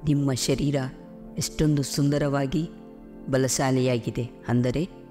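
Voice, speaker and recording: A man leads a guided meditation over background music. His voice sits at a medium pitch. He speaks soothingly and deliberately, leaving space between phrases. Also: fairly even intonation, dark tone. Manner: slow, soothing, deliberate pauses. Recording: music behind the voice. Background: clear background music.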